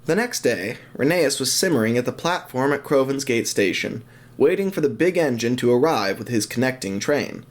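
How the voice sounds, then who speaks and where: A man's voice: medium pitch, lively intonation, normal speed. A man narrating an audio drama as scripted storytelling.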